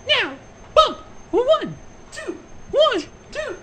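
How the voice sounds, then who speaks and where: A man's voice: very high voice, very animated, takes it slowly, heavy background noise, pitched above the performer's own voice, exaggerated voice. A man voicing a flamboyant, over-enthusiastic fitness instructor pony with a gushing, campy manner. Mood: gushing pep. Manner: gushing, campy pep talk.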